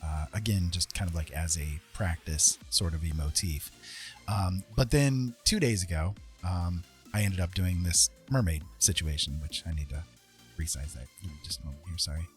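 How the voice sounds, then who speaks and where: A man's voice: sits low, moderate pace, soft background music. A man chatting casually and hesitantly as he livestreams his drawing, rambling and thinking aloud. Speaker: livestream host and artist.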